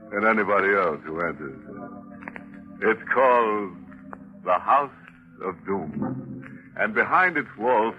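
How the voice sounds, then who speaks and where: A man hosting a 1940s radio mystery series in a droll, macabre, mock-sinister way. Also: on the low side. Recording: muffled 1940s radio recording, music behind. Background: music.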